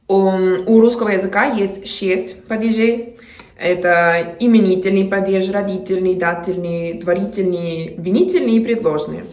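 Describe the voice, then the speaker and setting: A woman's voice: medium pitch, reverberant. A young woman from Mexico with a Mexican Spanish accent, talking casually to camera in a language she learned, hesitating with frequent fillers and restarts.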